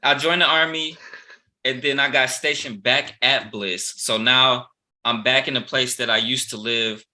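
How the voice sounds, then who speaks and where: A man's voice: mid-range voice, steady tone, measured pace, pushed and bright. A man in his thirties telling stories in a laid-back casual chat, swearing freely. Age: 30s. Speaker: host.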